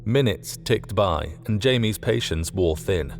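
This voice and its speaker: Low-voiced man narrating, lively intonation. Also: a little slow, faint music behind. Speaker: audiobook narrator. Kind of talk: scripted fiction narration.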